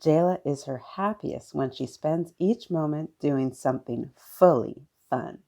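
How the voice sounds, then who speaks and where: A woman's voice: sits low, measured pace, gravelly, warm tone. A woman narrating a children's picture book expressively as a storytime reader.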